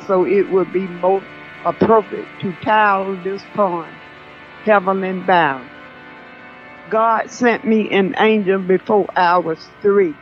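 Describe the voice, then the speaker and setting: A woman playing a reflective, grieving older grandmother in an audio drama, speaking solemnly and deliberately. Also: medium pitch, dull audio, close to an everyday voice. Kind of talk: audio drama acting, diary poem recitation.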